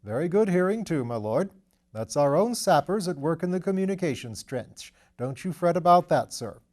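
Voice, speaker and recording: A man's voice: fairly high pitch, expressive, normal speed, darkish tone, voice pushed up, close to an everyday voice. A man voicing a loyal English manservant in an audiobook, reassuring, deferential and coaxing. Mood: stoutly reassuring. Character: loyal, soothing English manservant, stout and unflappable. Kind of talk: audiobook character voice.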